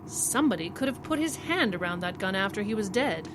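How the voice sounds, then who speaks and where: A woman's voice: medium pitch, animated, fairly quick, close to an everyday voice. Actress as woman defense attorney, resolute, affectionate, wry, articulate, old-time radio drama, slight background noise. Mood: indignant. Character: determined, idealistic woman defense attorney, affectionate and quick-witted. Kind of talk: old-time radio detective play acting.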